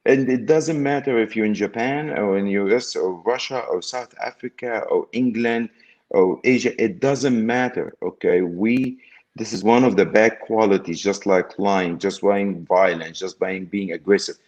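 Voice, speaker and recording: A middle-aged man from Egypt with a somewhat low voice talks as an interview guest. He is emphatic, repeats key phrases and keeps checking in with "okay?" as he tells his story. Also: normal speed, darkish tone, lo-fi sound.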